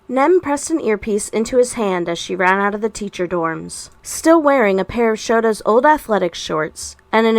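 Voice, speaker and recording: Female fiction narrator: medium pitch, wry storytelling, amateur audiobook read, very little room sound. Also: no echo.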